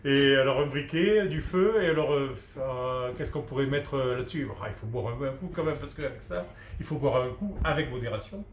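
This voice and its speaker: A man's voice: medium pitch, brisk. A middle-aged man from France, answering casually as a restaurant cook being interviewed, hesitant and repeating words as he searches for phrasing. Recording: echoey room with faint noise.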